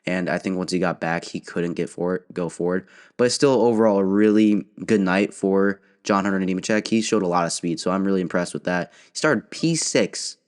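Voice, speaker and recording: A man's voice: deep voice, moderate pace. A young man with a cold, sniffling, hosting a casual solo race recap podcast with many fillers and restarts. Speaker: podcast host.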